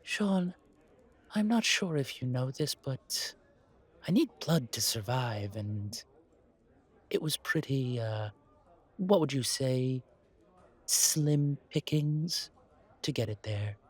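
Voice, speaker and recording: A man voicing a courtly, wary, centuries-old vampire in a roleplay audio drama, speaking in a measured, formal, breathy way. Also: mid-range voice, lively intonation. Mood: dry, defensive. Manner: measured, formal, politely dry.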